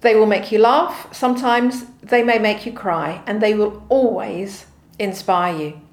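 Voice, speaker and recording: A woman's voice: mid-range voice, measured pace, roomy sound. A woman hosting an interview show, reading a scripted introduction and reacting with effusive, enthusiastic interjections. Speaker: host.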